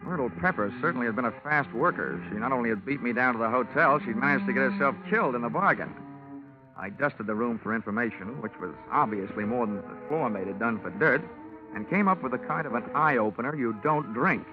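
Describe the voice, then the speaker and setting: A man's voice: mid-range voice, expressive, brisk, music in the background, close to an everyday voice. An actor playing a wry, hard-boiled insurance investigator who narrates his own case, in 1950s radio drama style, on a muffled old recording. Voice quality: wisecracking, dry. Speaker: detective lead and narrator.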